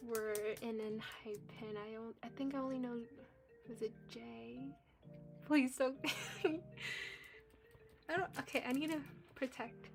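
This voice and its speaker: A young woman whose first language is Cantonese, chatting casually and giggling as she answers viewer comments on a live coloring stream. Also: fairly high pitch. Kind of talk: casual chat with live chat readers.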